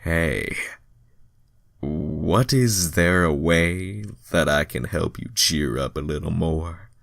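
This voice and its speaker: A man voicing an easygoing, confident young cartoon hedgehog hero in a casual, deliberate manner. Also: rumbling low, creaky, fairly bright tone, no room sound, clean studio sound, soft background music, heavily acted. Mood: supportive.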